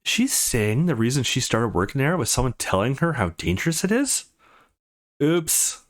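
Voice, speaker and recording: A man reading visual novel text aloud as a let's play host, in an expressive storytelling style. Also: medium pitch.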